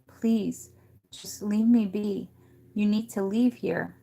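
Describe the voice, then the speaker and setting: A woman's voice: mid-range voice, takes it slowly, gravelly, mellow. A woman voicing a scared, tearful wild man hiding in the woods, talking in a shaken, pleading way.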